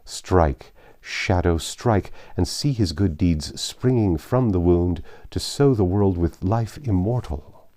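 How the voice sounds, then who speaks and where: A man narrating a classic story expressively, with dramatic, deliberate phrasing. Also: low voice, mellow, no room sound. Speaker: audiobook narrator.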